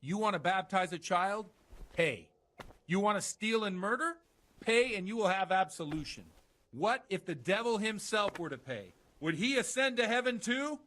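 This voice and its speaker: An actor playing a worldly, roguish village priest with a theatrical streak in a medieval video game. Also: male voice, sits high, animated, normal speed, a bit bright. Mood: fiery preaching. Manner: conversational, theatrical when preaching. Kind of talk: dramatic video game dialogue.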